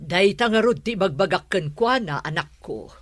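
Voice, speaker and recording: A woman's voice: fairly low pitch, animated, moderate pace, no echo, studio quality. A woman playing a stern, scornful middle-aged mother in an Ilocano audio drama. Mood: indignant. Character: stern, disapproving middle-aged mother, judgmental and sharp-tongued.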